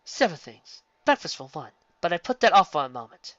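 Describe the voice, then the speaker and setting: A stately, ancient alicorn princess who weighs every formal word, voiced by a man pitching his voice up, crisp and bright in tone. The recording is dry and dull, with a lo-fi edge. It sounds like a storybook reading done at home. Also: big pitch swings, no echo. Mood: weary. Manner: formal, measured royal diction.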